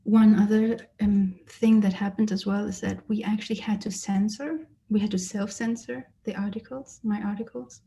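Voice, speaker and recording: A woman who grew up in Germany, an artist giving a lecture about her exhibition and speaking thoughtfully with frequent pauses and fillers. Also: mid-range voice, steady tone, vocal fry, mellow. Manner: hesitant, many fillers and restarts, thoughtful. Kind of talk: lecture presentation. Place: lecture or online presentation.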